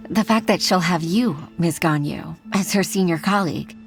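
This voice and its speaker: A woman's voice: lowish voice, unhurried, faint music behind. A woman voicing a humble, heartfelt middle-aged mother and secretary, hesitant and deferential, in an anime game. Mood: wistful, heartfelt. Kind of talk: anime video game voice acting. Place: studio recording with music behind.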